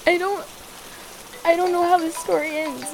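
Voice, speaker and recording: Casting: actress as a high-pitched, distraught woman trapped with a controlling partner, pleading and halting, intimate roleplay drama, steady rain noise. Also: creaky. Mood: afraid, resigned. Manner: pleading, halting, repeating herself.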